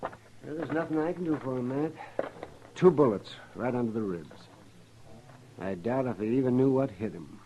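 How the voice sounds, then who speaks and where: An actor playing a folksy, excitable frontier town doctor in a 1950s western radio drama, with a husky voice. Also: male voice, mid-range voice, background noise, natural voice. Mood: somber. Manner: folksy, expressive drawn-out phrasing. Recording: dull, lo-fi old radio recording.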